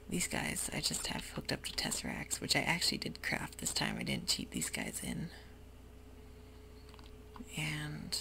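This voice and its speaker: A woman giving soft-spoken, whispery commentary while she plays a computer game, with frequent ums. Keyboard typing can be heard close to the mic. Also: low-pitched, creaky. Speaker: ASMR gaming creator. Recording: noisy home recording.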